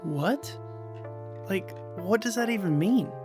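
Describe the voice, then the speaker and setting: A man playing a groggy, baffled young housemate with a husky voice, in naturalistic audio drama. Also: high-pitched, lively intonation, slow pace, music in the background.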